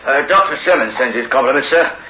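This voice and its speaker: An actor playing a respectful, plain-spoken prison warder with folksy deference in a 1960s radio drama. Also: male voice, medium pitch, lively intonation, quick pace, lightly acted. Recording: dull, noisy old radio recording.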